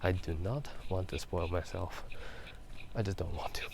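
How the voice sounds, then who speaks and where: A man whispering a casual, rambling ASMR chat in a halting, unhurried way while out walking through a town at night. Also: low-pitched, gravelly, background noise. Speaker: ASMR video host.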